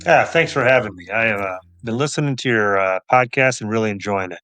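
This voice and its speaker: A man's voice: lowish voice, fairly quick, sharp tone. A middle-aged man giving casual answers as an interview guest, modest and understated with dry humour. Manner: modest, understated, dryly humorous. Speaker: podcast guest, police officer and author.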